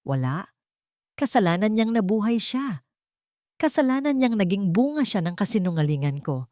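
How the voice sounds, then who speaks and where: A woman's voice: medium pitch, big pitch swings, moderate pace, a little mellow, dry recording, clean studio sound, pitched above the performer's own voice, close to an everyday voice. A woman voicing a bitter, vengeful villainess full of envy, emphatic and accusing, in a narrated romance drama.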